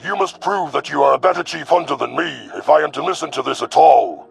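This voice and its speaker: A man voicing a cruel, arrogant young alien predator commander in an audio story, with a growling, gravelly voice and haughty, formal menace. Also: on the high side, expressive, brisk, soft background music, pitched above the performer's own voice, heavily acted. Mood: disdainful.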